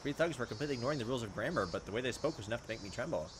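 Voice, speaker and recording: A man's voice: medium pitch, very fast, background noise. A man reading a visual novel aloud as a gameplay host, adding casual, wry asides between the narrated passages. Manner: animated, wry joking asides. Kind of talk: let's play narration with casual commentary.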